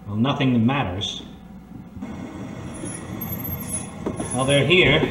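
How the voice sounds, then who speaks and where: An actor playing an earnest, resolute private eye in an old-time radio detective drama. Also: male voice, mid-range voice, moderate pace, natural voice. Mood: resigned. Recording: echoey, distant mic, faint noise.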